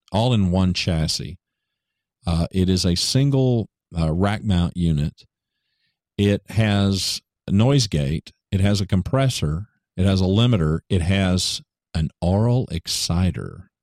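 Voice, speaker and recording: A man with a baritone voice talking casually about studio gear, joking and correcting himself, into a studio microphone in a home studio. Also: darkish tone, dry recording. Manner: joking, rambling, self-correcting. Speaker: podcaster explaining studio gear. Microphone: studio microphone through hardware processor.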